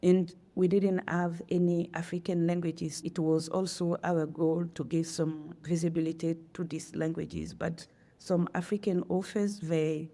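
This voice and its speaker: Woman, teacher researcher, low mellow voice, quick and earnest. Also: little pitch movement. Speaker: teacher researcher, guest editor. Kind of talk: academic interview.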